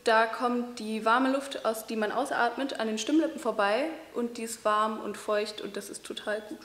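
A young woman with a fairly high voice explains breathing and voice exercises, stopping now and then with fillers. She stands in a large hall with a wooden floor, and the room gives her voice a clear echo. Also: fairly even intonation, moderate pace, fairly bright tone. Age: young adult. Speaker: voice training student. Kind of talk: demonstrating voice exercises. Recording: echoey hall.

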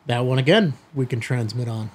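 A middle-aged man hosting a hobby video, giving a product demonstration to camera in a husky voice. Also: mid-range voice, fairly quick. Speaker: host of a ham radio video channel. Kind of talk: product test demo to camera. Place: small indoor studio room.

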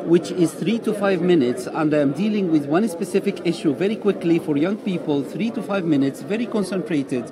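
Homme à l'accent égyptien, voix un peu aiguë. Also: male voice, a little high, normal speed, few pauses. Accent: Egyptian Arabic. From Egypt. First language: Arabic. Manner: eager, affirming, slightly broken English. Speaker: guest. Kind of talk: interview answers. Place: busy indoor conference lobby. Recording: crowd chatter behind.

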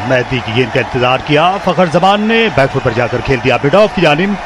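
A man with a Pakistani Urdu accent calls a cricket match ball by ball for radio, in measured, formal phrasing and a mid-range voice. The broadcast carries a steady layer of noise behind him. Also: mid-range voice.

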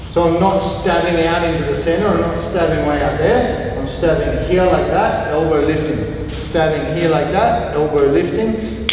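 A man's voice: high-pitched, slight background noise. A middle-aged man teaching a kung fu block, talking while he demonstrates moves in a large gym. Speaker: kung fu instructor. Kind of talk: martial arts demonstration lesson. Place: large martial arts gym, indoors. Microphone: distant camera mic. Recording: echoey hall from far off.

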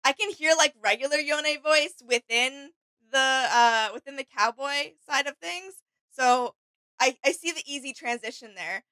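A young woman with a bright, crisp-edged voice, a little on the high side. She chats casually as a co-host, seated indoors near the mic. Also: normal speed, poor audio. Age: young adult. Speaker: reaction video co-host. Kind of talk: casual reaction chat. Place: small indoor room with gaming setup.

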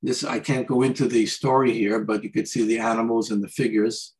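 An older man, an artist, talking informally and haltingly through slides of paintings on a video call. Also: medium pitch, fairly even intonation, a little mellow. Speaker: artist presenting his paintings. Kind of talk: informal slide commentary on art.

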